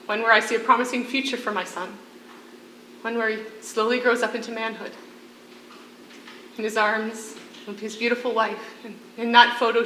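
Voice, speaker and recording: A woman acting in a stage play as a defiant condemned prisoner and devoted mother, speaking in earnest, deliberate phrases. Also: a little high, pushed and bright. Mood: wistful. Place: theatre stage, live performance. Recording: echoey stage recording with faint noise.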